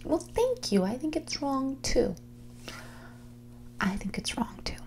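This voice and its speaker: A woman playing a mean, snobbish rich young woman on a date in an ASMR roleplay, mixing breathy whispers with soft speech in a condescending, catty drawl. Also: high-pitched, very animated, slow, slight background noise, exaggerated voice. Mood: snobby, smug.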